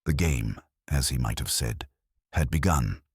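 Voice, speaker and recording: A man reading a scripted mystery story as an audiobook narrator, in a measured, literary and dramatic storytelling manner. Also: extremely low pitch, husky, no room sound, clean studio sound.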